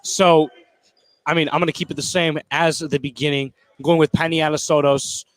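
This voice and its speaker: A male American color commentator talks casually over a live basketball game. His voice sits fairly high and sounds dry, with no room echo. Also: level tone, normal speed.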